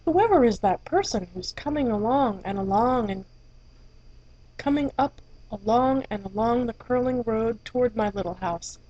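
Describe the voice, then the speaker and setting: A woman doing a hushed, shy storybook elephant. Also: animated, normal speed. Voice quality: low, hushed, at times whispered. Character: shy, quiet male elephant who loves idleness. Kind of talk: storybook reading. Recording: dull lo-fi, dry.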